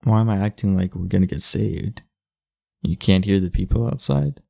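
Casting: man as aloof high school bad boy for ASMR roleplay, very gravelly low voice, blunt yet confiding. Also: normal speed, dark tone, full character voice. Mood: earnest, confiding. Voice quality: gravelly, husky. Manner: laid-back, blunt, confiding. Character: aloof, muscular, blunt teenage class delinquent, secretly earnest. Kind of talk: intimate ASMR roleplay acting.

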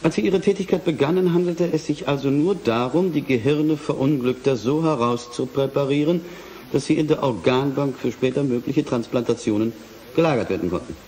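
An actor playing a courteous but probing prosecutor, questioning formally and pointedly in a German radio drama. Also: male voice, mid-range voice, fairly quick, a little mellow, a little hiss or hum, close to an everyday voice.

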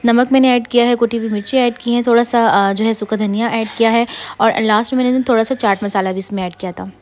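A woman, casually narrating a home cooking vlog in a rambling way, with frequent fillers and soft laughs. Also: on the high side, fairly even intonation, fast, slight background noise. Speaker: vlogger. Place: home kitchen.

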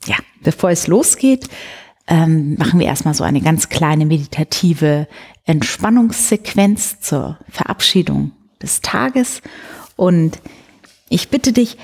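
A woman in her forties hosting a bedtime show, guiding the listener gently and soothingly with a soft, breathy voice. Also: sits low, expressive, slow pace. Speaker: host of a bedtime story show. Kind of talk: guided sleep meditation, soft bedtime storytelling. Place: indoor room beside a wood stove. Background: soft music.

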